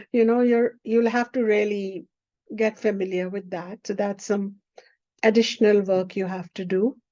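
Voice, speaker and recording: A woman whose first language is Hindi, teaching a spiritual class in an unhurried way and often checking in with the listener. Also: mid-range voice, steady tone, warm tone, no room sound. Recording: dull lo-fi recording.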